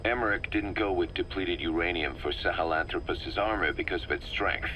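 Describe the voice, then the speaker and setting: An actor playing a serious military second-in-command in a video game, giving matter-of-fact explanatory briefings. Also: male voice, deep voice, a little fast, pushed and bright, slight background noise. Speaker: military deputy briefing his boss.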